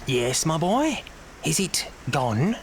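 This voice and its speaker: An actor playing a wily old leader of child pickpockets in an audio drama, coaxing in drawn-out phrases with faint menace. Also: male voice, fairly high pitch, very animated, a little hiss or hum, exaggerated voice.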